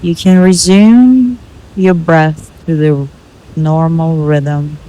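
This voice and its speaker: A woman leading a guided meditation in measured, instructional short phrases with pauses between them. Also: deep voice, steady tone, warm tone, poor audio.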